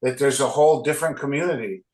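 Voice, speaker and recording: A man co-hosting a community interview, speaking hesitantly with many pauses and restarts. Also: mid-range voice, a little mellow. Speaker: co-host.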